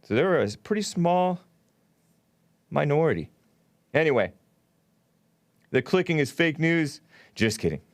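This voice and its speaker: A man's voice: on the high side, big pitch swings, a little slow, pauses often, no room sound. Guy hosting a call-in show, offhand and chatty, laughs at his own jokes, dead dry studio. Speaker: talk show host. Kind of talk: casual call-in radio talk.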